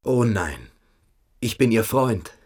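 An actor playing a submissive, evasive boarding-school pupil in a German radio play, hesitant and coquettish. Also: male voice, fairly low pitch, very animated, slow, darkish tone, breathy edge, exaggerated voice. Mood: coy, confiding.

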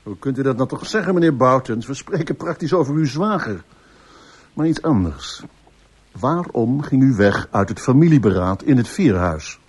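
An actor playing a brisk, dryly courteous police inspector who speaks formally and in a measured way in a radio play. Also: male voice, medium pitch, expressive, a little mellow, breathy edge. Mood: probing. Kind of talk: radio drama acting.

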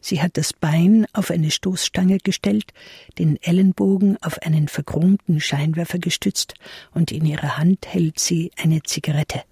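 A cool female narrator describes things in a measured radio drama style. The voice is very breathy and husky, dark and mellow. It moves slowly. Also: fairly low pitch, fairly even intonation, no echo, clean studio sound, heavily acted.

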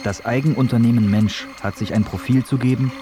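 A man's voice: mid-range voice, steady tone, a little fast, crowd noise. A man reading scripted documentary narration for a radio feature. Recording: music behind the voice. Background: music.

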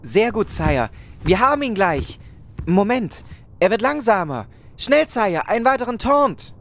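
A woman's voice: mid-range voice, sing-song, a little slow, a little hiss or hum. A woman playing a bossy, sarcastic knight's sidekick with formal politeness in a fantasy parody audio drama. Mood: urgent, commanding.

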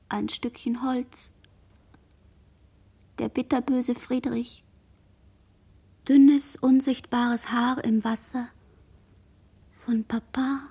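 A woman's voice: high-pitched, mellow, breathy edge, full character voice. A woman playing a dazed young girl who murmurs a fragmented, incantatory inner monologue in a literary radio play. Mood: hushed.

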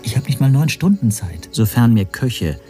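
An actor playing a brooding, anxious hotel guest under suspicion, narrating a radio drama in the first person in a measured, wry way. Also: male voice, medium pitch, sing-song, mellow, soft background music, lightly acted. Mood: brooding, introspective. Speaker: narrator and protagonist.

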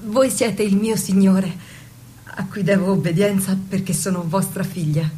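A woman's voice: medium pitch, steady tone, mellow, natural voice. Woman acting dignified young noblewoman, noisy recording. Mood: earnest, pleading. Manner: formal, measured declamation. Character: devoted young noblewoman, dignified daughter and bride. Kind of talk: stage-style radio drama acting.

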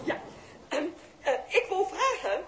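A woman's voice: very high-pitched, very animated, measured pace, reverberant, background noise, exaggerated voice. An actress in a 1960s radio drama playing a fussy, hesitant, nosy lady from the audience. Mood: fussy, inquisitive.

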